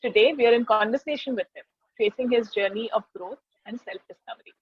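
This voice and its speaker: Female interview host, Indian accent, slightly high and husky voice, muffled lo-fi audio. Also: fast talker. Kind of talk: conversational interview hosting with scripted introduction.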